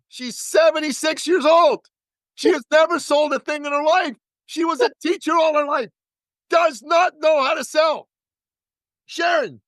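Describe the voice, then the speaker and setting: A man talking as a podcast guest and business coach, giving an energetic pitch and repeating words for emphasis. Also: no room sound.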